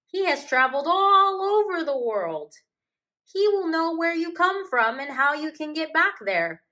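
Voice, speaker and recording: A woman voicing a big, jovial, joking hippopotamus from a children's storybook in a loud, emphatic, exclamatory way. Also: very high-pitched, very animated, a little slow, pitched above the performer's own voice, full character voice. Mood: helpful.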